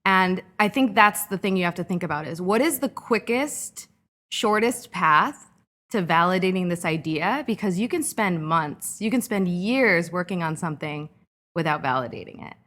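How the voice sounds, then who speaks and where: A woman in her thirties from California talks through her story as a podcast guest. Her voice sits a little low, and she sounds thoughtful and steady, leaning on fillers like "um" and "like". She grows lively when she tells anecdotes. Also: moderate pace. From California, Orange County. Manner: reflective, lively when telling anecdotes, frequent "um" and "like". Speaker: guest entrepreneur. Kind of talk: conversational podcast interview.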